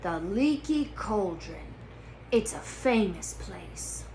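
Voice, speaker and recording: A woman's voice: mid-range voice, sing-song, slow, a little mellow, slight background noise. A woman voicing a huge, hearty, plain-spoken man in a storybook reading. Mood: matter-of-fact.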